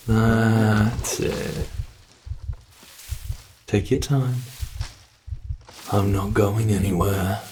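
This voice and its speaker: A man playing a sadistic monster from under the bed, toying with human prey in a horror ASMR roleplay, slow, taunting and theatrical, with a creaky voice. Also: on the low side, noisy background.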